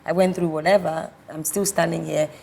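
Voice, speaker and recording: A woman's voice: deep voice, steady tone, a little fast, creaky, darkish tone, a little hiss or hum. A woman, a talk show co-host speaking confidently and emphatically. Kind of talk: casual panel chat.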